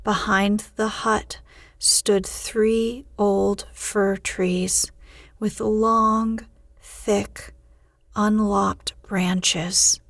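A woman hosting and narrating a bedtime podcast in a soothing, unhurried, hushed way. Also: mid-range voice, level tone, dry recording. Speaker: podcast host and bedtime story reader. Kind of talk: slow sleep-podcast narration.

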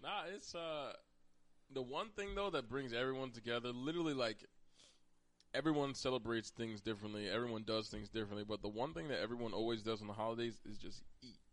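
A man's voice: mid-range voice, fast, fairly bright tone, dry recording. An American man hosting a podcast, chatting casually and conversationally with a teasing streak toward his co-host. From Pennsylvania, United States.

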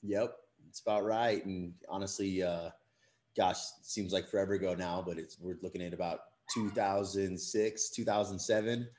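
A man's voice: low-pitched, fairly even intonation, normal speed, a little mellow. A man in his thirties from the western US, a friendly podcast guest who laughs easily. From western USA. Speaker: guest, business co-owner. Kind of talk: casual chat.

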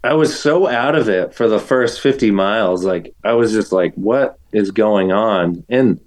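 A man's voice: lowish voice, a little slow, a little mellow. A man in his forties, a podcast guest telling race stories in a rambling, self-mocking way full of fillers. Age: 40s. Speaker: guest runner. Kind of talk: casual chat, telling stories.

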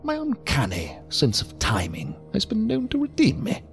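A mysterious old gentleman for an audio drama. The voice is gravelly, sometimes breathy, and swoops wildly up and down. He speaks with ornate, deferential courtesy and a philosophical bent, with music underneath. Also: high voice, wildly swooping pitch, normal speed, exaggerated voice. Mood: whimsical, genteel. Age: older. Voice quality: creaky, gravelly, breathy at times. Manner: formal, ornate, deferential, theatrically sing-song. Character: odd, courteous, philosophical old man lurking in an alley.

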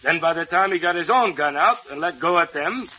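An actor playing a matter-of-fact police lieutenant in a 1940s radio drama style. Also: male voice, a little high, lively intonation, fairly quick, pushed and bright. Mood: urgent reporting. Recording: muffled lo-fi old radio recording.

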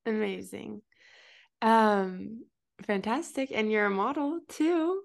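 Chatty young woman with a creaky voice. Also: medium pitch, takes it slowly. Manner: chatty, many fillers and restarts, laughs often. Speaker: podcast host. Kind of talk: casual interview chat.

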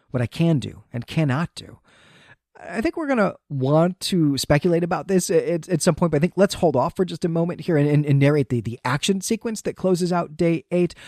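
A man's voice: fairly high pitch, a little mellow, no echo, studio quality. A man hosting a podcast, giving an analytical, conversational recap of a story and repeating words as he thinks aloud. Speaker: podcast host. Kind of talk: conversational literary recap, partly reading quotes aloud.

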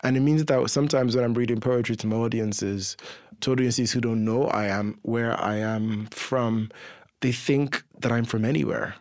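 A man with an accent that mixes Nigerian, Irish, English and American, speaking as a poet guest in a thoughtful, measured way. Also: mid-range voice, fairly even intonation, darkish tone, dry recording, studio quality. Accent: mixed Nigerian, Irish, English and American. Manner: thoughtful, measured, searching for words. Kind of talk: reflective conversation.

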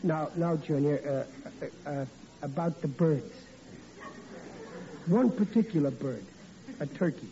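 A bumbling comic father who stammers and blusters, his voice high but mellow. The sound is dull and lo-fi with faint hiss, like an old radio play. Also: a little slow, long pauses. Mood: blustering. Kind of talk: 1940s radio acting.

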